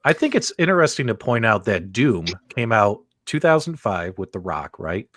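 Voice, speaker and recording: A man hosting a casual panel show, excitable and chatty as he addresses his co-hosts and audience. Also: lowish voice, normal speed, no echo, clean studio sound. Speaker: host of a video game podcast.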